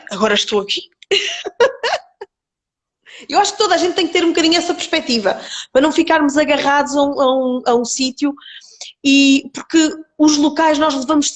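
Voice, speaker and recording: A woman with a Coimbra Portuguese accent tinged with Porto and Terceira, speaking thoughtfully as an interview guest, with many pauses and repeated words. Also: high voice. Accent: Coimbra Portuguese mixed with Porto and Terceira. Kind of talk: reflective conversational interview.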